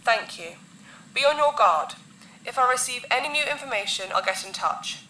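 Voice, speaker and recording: A woman playing a commanding, solemn insectoid alien queen in a sci-fi audio drama, with formal, imperious and measured phrasing. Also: a little high, expressive, sharp tone, reverberant, far from the mic, slight background noise, rough recording. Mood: composed, formal.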